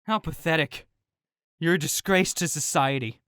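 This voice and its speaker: A man's voice: fairly high pitch, normal speed, a bit bright, clear, resonant tone, dry recording, clean studio sound, lightly acted. A man voicing a bitter, hot-tempered young student in a comic dub, scolding and contemptuous.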